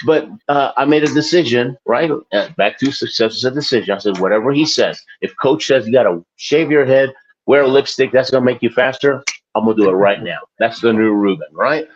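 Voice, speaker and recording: A man's voice: mid-range voice, brisk, muffled recording. Rambling self-mocking man in his forties. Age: 40s. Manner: rambling, self-deprecating, laughs often. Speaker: guest, Olympic athlete and author. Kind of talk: casual interview talk.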